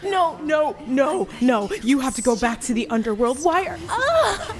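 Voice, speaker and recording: A woman acting as an anguished, fragile young daughter in a radio play, halting and pleading as she speaks. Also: high-pitched, very animated, a crowd in the background. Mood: panicked, desperate. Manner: halting, breathless pleading with repeated words.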